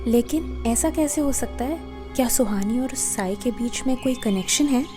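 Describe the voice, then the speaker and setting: A woman's voice: on the high side, moderate pace, music in the background, lightly acted. A woman playing an alarmed, disbelieving young woman in an audio drama.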